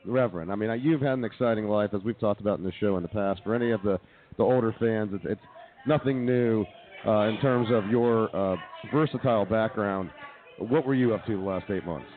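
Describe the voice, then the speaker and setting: A man's voice: fairly low pitch, a little fast, narrow, tinny audio. A man hosting a comedy radio show with casual banter, heard through thin, band-limited audio.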